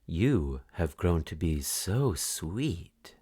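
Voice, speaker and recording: Sly old storybook wolf, played by a man, posing as granny, wheedling, slow drawn-out words. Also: deep voice, sing-song, dark tone, slightly rough, no room sound, heavily acted.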